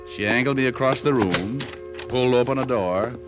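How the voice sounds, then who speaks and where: An actor playing a cool, wisecracking private detective with an American accent and wry wit, in old-time radio drama style. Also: male voice, mid-range voice, moderate pace, music in the background, natural voice. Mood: wry, narrating. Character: wisecracking, cool male private detective narrating his case. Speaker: lead actor and narrator.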